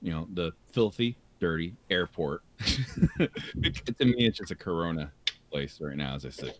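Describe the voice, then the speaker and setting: A man, co-hosting a casual podcast, telling a rambling story full of "like" fillers and quick laughs. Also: low voice. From United States. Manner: animated, rambling, laughs often, many "like" fillers. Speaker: podcast co-host.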